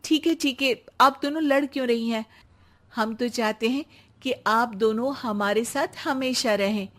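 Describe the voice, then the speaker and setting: A woman voicing a young daughter-in-law in a cartoon family story, pleading and quick to protest. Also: a little high, a bit bright. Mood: placating.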